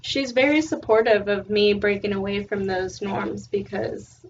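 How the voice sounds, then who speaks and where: A woman's voice: mid-range voice, a little slow. A young woman from California, a student, speaks as a guest in a casual chat, thoughtful with some fillers. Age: young adult. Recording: distant dull lo-fi mic with faint hiss.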